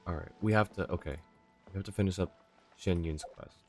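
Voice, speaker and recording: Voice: sits low, brisk, a little mellow, soft background music. A livestreamer giving casual commentary while playing a game.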